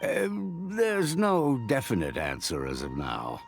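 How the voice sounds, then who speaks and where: A man playing a composed, authoritative older sage in a video game, formal and smoothly evasive. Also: low voice, huge pitch swings, faint music behind, full character voice. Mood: composed, stern. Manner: measured, formal, commanding.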